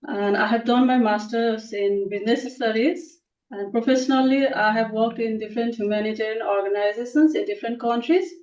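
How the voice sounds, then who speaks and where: A woman's voice: fairly high pitch, steady tone, fairly quick, darkish tone. A woman from Nepal introducing herself politely as a student on a class call. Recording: distant, echoey, dull call audio.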